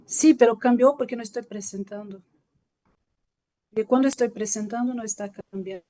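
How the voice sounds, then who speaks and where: Brazilian woman lecturing in Spanish, higher voice. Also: normal speed, dark tone. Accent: Brazilian Portuguese. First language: Portuguese. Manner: hesitant, polite, restarts often. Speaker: guest speaker. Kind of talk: online lecture presentation.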